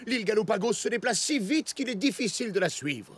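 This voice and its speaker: A cautious, knowing cartoon sidekick. His voice is high and husky, with very animated intonation. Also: a little fast, exaggerated voice. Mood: earnest. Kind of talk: French cartoon dub acting.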